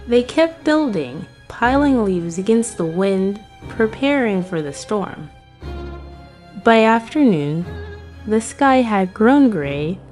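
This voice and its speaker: A woman's voice: medium pitch, expressive, slow pace, a little mellow, soft background music. A woman narrating a scripted fairy tale for children as a storyteller.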